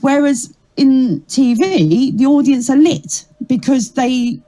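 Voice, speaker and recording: A middle-aged woman comedian with a rough, ragged voice, chatting animatedly in an interview. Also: high voice. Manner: chatty, animated storytelling with quick asides. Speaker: comedian guest. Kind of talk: casual interview chat.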